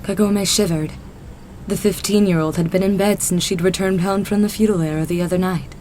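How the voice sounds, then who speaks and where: A woman's voice: mid-range voice, steady tone, fairly quick, darkish tone, slight background noise, poor audio. A woman narrator reading a scripted story as part of an audio drama.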